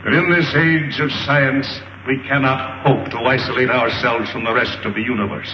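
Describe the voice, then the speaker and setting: Actor as a grave council elder, echoing hall. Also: male voice, medium pitch, fairly even intonation, lightly acted. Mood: grave, formal. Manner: formal, measured, deliberate. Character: grave male director of an alien council of elders. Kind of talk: 1930s film serial acting. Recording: muffled old film soundtrack, echoey, distant, noisy.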